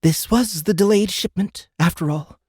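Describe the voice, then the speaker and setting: A man's voice: high-pitched, normal speed, a little mellow, slightly rough, no echo, clean studio sound, pitched above the performer's own voice. A man voicing a nervous, deferential human official in an audiobook, anxious and placating. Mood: placating, evasive. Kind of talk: audiobook character acting.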